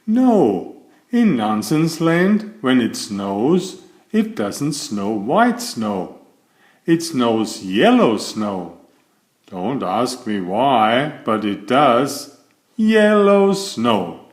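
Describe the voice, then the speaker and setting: Slow, animated man reading a bedtime story. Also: mid-range voice. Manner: expressive, addresses the listener with questions. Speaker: storybook reader. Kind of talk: read-aloud children's bedtime story narration.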